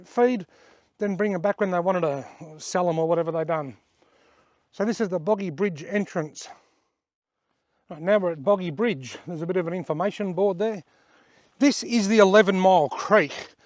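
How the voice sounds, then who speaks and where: A man with an Australian accent and a fairly high voice, a little hoarse from a cold, walks and talks his way around the wetland in a relaxed, plain-spoken way. The sound is dry and close, with hardly any room around it. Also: no echo. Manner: chatty and plain-spoken, pointing things out as he goes. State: slightly hoarse from a bug. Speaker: outdoor vlogger giving a tour. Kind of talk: casual walking-tour narration. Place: outdoors at wetlands by a creek and car park.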